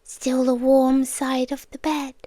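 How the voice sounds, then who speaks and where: A British woman playing an obsessive, gently menacing girlfriend in an intimate ASMR roleplay, speaking in a hushed, deliberate way that often slips into whispers, close to the microphone. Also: sits high, completely flat delivery, dry recording. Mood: calm, possessive. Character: soft-spoken obsessive yandere girlfriend, gently menacing captor. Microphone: close microphone, dry.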